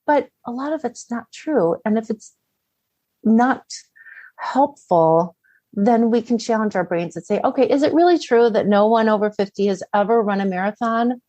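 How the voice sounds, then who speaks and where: A woman's voice: a little high, normal speed, warm tone, no echo. A middle-aged woman giving conversational coaching advice as an interview guest, often posing questions and acting out inner dialogue.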